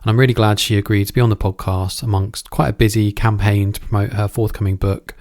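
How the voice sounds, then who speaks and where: A man with a fairly deep voice presents and interviews for a podcast. He speaks informally, feeling his way through phrases, and the sound is dry with little room around it. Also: level tone, fast, a little mellow, no echo. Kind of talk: conversational podcast intro and interviewing.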